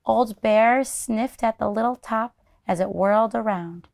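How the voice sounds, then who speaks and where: A woman's voice: fairly high pitch, measured pace, creaky, darkish tone. Woman reading a children's story aloud. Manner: explains the story in simple asides. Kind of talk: expressive children's story narration.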